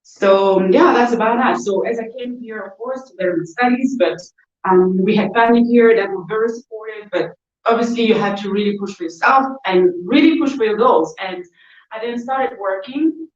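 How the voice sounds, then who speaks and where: A woman's voice: a little high, normal speed. A woman from DR Congo speaking as an interview guest, telling her own story conversationally, often saying "you know" and "whatnot". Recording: echoey, distant, dull poor sound.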